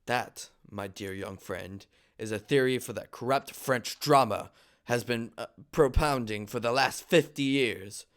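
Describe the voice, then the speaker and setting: A man voicing an earnest, easily exasperated young gentleman, a little stiff, in a stage comedy table read. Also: mid-range voice, measured pace, natural voice. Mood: dismissive.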